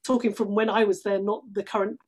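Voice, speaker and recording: A woman's voice: mid-range voice, level tone, fast, darkish tone, breathy edge. A middle-aged woman from London with a British accent, talking effusively and emphatically as an interview guest. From London, England. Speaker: guest, stage actress. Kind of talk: conversational interview.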